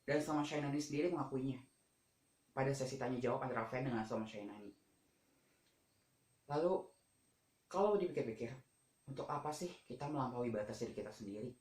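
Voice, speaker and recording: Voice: medium pitch, fairly quick, long pauses, darkish tone. Teenage student vlogger, emphatic informal presenting, rhetorical questions, roomy echo. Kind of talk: informal school-assignment presentation to camera. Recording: echoey room.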